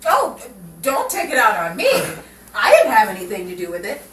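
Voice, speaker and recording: A woman's voice: fairly high pitch, huge pitch swings, normal speed, a bit bright. A woman performing as announcer and cast member of a live show, announcing and bantering. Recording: echoey distant mic, noisy with crowd.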